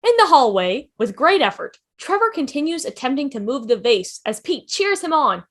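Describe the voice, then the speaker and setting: A woman's voice: high voice, big pitch swings, moderate pace. A woman reading stage directions expressively as scripted narration for a table read over a video call.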